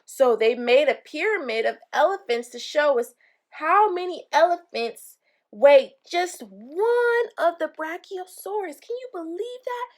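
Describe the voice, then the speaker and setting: A woman reading a children's picture book aloud, pausing to explain things simply to young listeners. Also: very high voice, very animated. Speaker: read-aloud storyteller for children.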